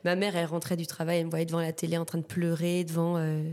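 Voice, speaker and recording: A young woman with a Martinican French accent and a lowish voice, chatting as a podcast guest. She searches for her words, stumbles over repeated starts and fills the pauses with "euh". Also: completely flat delivery, dark tone. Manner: halting, many fillers and repeated words. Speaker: guest artist. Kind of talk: casual interview chat.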